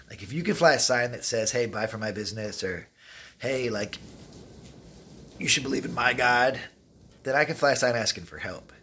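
A man in his thirties with a rough voice, telling rambling, streetwise stories casually to the camera and acting out bits of dialogue. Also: on the low side. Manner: rambling, streetwise, animated retelling with quoted dialogue. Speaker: travel vlogger. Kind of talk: casual storytelling vlog, talking to camera. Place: inside an open derelict wooden shack. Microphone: phone or camera at arm's length.

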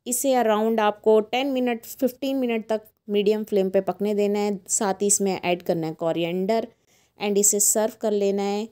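Middle-aged Indian woman, recipe host, soft dark tone at a medium pitch, chatty voice-over. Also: steady tone, moderate pace, clear, resonant tone. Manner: chatty, mixes English words into Hindi. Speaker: cooking channel host.

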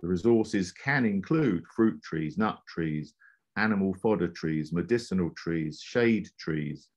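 Very deep-voiced man presenting. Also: darkish tone, highs cut off. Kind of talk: scripted slide presentation narration.

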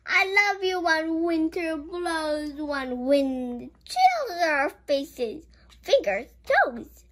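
Childlike voice, picture-book read-aloud, very slow, lively sing-song pitch, halting, exclaiming. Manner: childlike, exclaiming with gasps and giggles. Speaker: children's show host.

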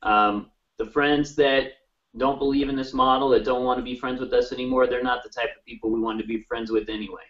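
A man's voice: mid-range voice, steady tone, brisk, a bit bright. Distant, muffled man on a video call. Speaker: podcast guest, animal advocate. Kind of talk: casual interview. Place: small room at home. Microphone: video call on computer, off mic.

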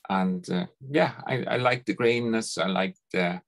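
Dutch-accented man, lowish voice, on a video call. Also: creaky, a little hiss or hum. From Netherlands. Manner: thoughtful, unhurried, repeats words as he starts sentences. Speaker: guest. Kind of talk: conversational interview. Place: home study lined with bookshelves.

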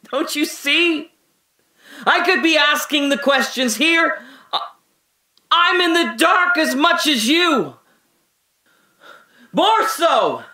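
A bitter, sardonic man held in custody rambles through a radio-drama monologue. His tone is bright and forceful, and he drifts from hushed, brooding musing into indignant outbursts. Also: very high-pitched, full character voice. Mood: paranoid. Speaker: voice actor.

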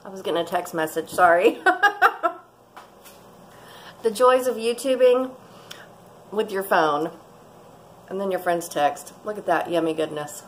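A woman's voice: medium pitch, a little slow, pauses often, rough recording. Casting: woman in her 40s, chatty rambling vlogger, mid-range voice, faint kitchen noise. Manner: chatty, rambling, laughs often. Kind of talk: casual cooking vlog chat. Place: home kitchen, indoors. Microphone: fixed camera at close range.

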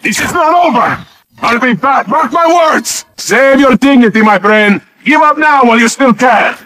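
An actor voicing a suave, cocky robot duelist in a video game, with haughty, theatrical gallantry. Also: male voice, very high-pitched, animated, normal speed, pushed and bright, no room sound, heavily acted. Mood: haughty, taunting.

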